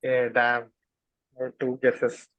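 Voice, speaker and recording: A man's voice: mid-range voice, monotone, slow pace, no echo. A man taking part in a quiz, giving casual spoken answers. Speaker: quiz contestant. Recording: slightly dull call audio.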